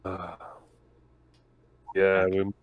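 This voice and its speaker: A man playing a tabletop roleplaying game, stating his moves casually in short, halting phrases. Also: sits low, completely flat delivery, phone-quality sound. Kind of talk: casual chat.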